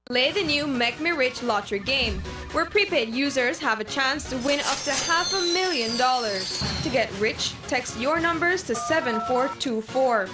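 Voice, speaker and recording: A woman reading a scripted commercial voice-over in a clear, upbeat promotional manner. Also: sits high, animated, moderate pace, few pauses, over loud music. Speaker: commercial announcer.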